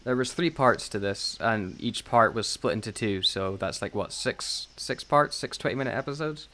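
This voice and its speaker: A man's voice: lowish voice, normal speed, pushed and bright, a little hiss or hum. A man chatting casually as a podcast co-host, talking in a rambling way and often chuckling. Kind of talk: casual chat reviewing audio dramas.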